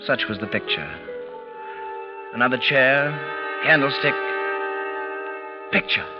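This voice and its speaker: An actor as a dread-filled 1940s radio suspense narrator. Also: male voice, mid-range voice, natural voice. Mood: brooding. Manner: dramatic, deliberate, halting phrases. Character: tense man recounting a night of mounting terror. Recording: muffled vintage 1940s radio recording, music behind.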